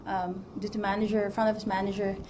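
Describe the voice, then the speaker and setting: A woman's voice: mid-range voice, level tone, fast, a little mellow, a little hiss or hum. A woman in her thirties from the Philippines, talking as a guest in a casual interview, with plenty of fillers and restarts. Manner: chatty, many fillers and restarts. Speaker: mortgage broker guest.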